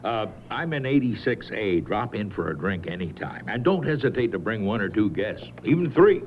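An actor playing a glib, breezy swinging bachelor in a 1970s radio drama. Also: male voice, lowish voice, very animated, normal speed. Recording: muffled old radio recording, clear noise.

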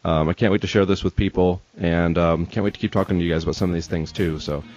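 A man hosting a podcast interview, chatting casually in hesitant, halting phrases on slightly muffled audio. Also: low voice, steady tone, a little mellow. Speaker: podcast host.

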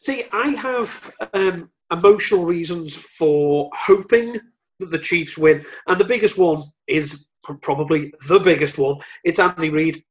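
A man with a moderately high voice talks on a sports podcast. He chats informally, with a wry streak and a readiness to poke fun at himself. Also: unhurried, poor audio. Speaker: podcast co-host or guest. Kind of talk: casual sports chat.

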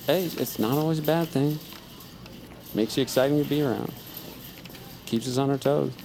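A man's voice: mid-range voice, normal speed, dark tone, lightly acted, poor audio. A man acting a protective dad, music behind. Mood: affectionate, placating. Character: protective, gently teasing father of a teenage girl. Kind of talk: naturalistic audio drama acting.

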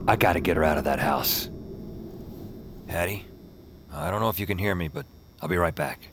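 A man's voice: low voice, background noise. An actor playing a weary, wry man on the run who narrates his night in terse, dry noir audio drama style. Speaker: lead character and narrator. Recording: music under the voice. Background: dramatic music.

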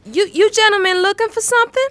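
An actress playing a worried, protective young wife in a radio drama. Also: female voice, very high voice, fast, noisy background. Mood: anxious. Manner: anxious, protective, pleading.

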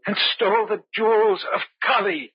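A man's voice: sits high, fairly even intonation, normal speed, lightly acted. An actor playing an alarmed but reasoning man in an old-time radio horror drama, earnest and urgent, on a muffled noisy recording. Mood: solemn.

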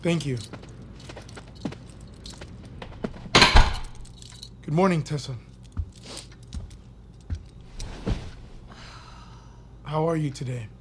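Man playing a probing military doctor, higher-pitched voice. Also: a little mellow, slight background noise, close to an everyday voice. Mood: careful, coaxing. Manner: measured, hesitant, coaxing. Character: probing, uneasy male military doctor interviewing an accused recruit. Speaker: voice actor in audio fiction. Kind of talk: naturalistic audio drama acting.

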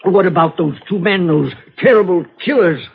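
An actor playing a fussy, melodramatic eccentric gentleman in a 1950s radio drama, formal and prone to stammering and overwrought outbursts. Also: high-pitched, moderate pace, vocal fry. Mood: flustered. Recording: noisy, dull old radio recording.

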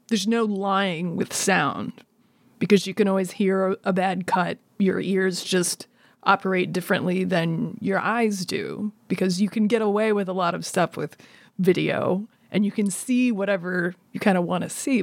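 A woman's voice: mid-range voice, measured pace, creaky, no echo. A woman in a casual, conversational discussion, explaining her thoughts.

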